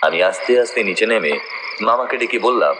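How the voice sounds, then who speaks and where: A man's voice: fairly low pitch, animated, a little fast. A man narrating a scripted first-person ghost story as the storyteller. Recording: noisy nature ambience behind voice.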